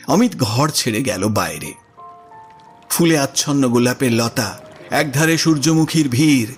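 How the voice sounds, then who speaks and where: A man narrating a literary story in an expressive, scripted audio drama style. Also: mid-range voice. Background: music.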